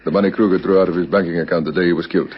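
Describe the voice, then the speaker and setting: An actor playing a calm, relentless police captain, measured and formal, in an old radio crime drama. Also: male voice, low voice, a little hiss or hum, natural voice. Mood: pressing, accusing. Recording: muffled vintage radio recording.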